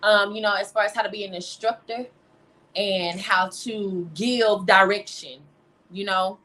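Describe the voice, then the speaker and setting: A woman's voice: medium pitch, fairly even intonation, a little slow, sharp tone. A young American woman talking casually and emphatically as an interview guest, often adding "you know". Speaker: interview guest, teacher and singer-songwriter. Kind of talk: casual conversational interview answers.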